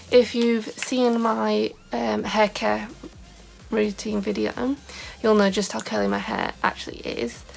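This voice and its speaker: A woman's voice: mid-range voice, little pitch movement, slow, creaky, a little mellow, soft background music. A young woman vlogging in a casual, rambling way, full of "like" fillers, with a scratchy voice today. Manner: chatty, rambling, many "like" fillers. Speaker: beauty vlogger. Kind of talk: casual chat to camera.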